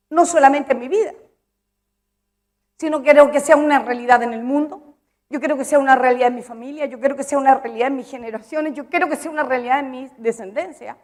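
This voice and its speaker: A woman's voice: high voice, darkish tone. A woman preaching a sermon. She speaks with emphasis, repeats her phrases and puts questions to the congregation.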